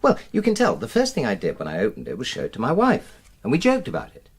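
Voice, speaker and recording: A man's voice: medium pitch, huge pitch swings, fast talker, breathy edge. A man acting in a naturalistic radio drama as a defensive, aggrieved husband, earnest and emphatic as he justifies himself. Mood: uneasy, explaining. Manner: earnest, emphatic, self-justifying, hesitant under questioning. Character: aggrieved, defensive middle-class husband suspected of an affair.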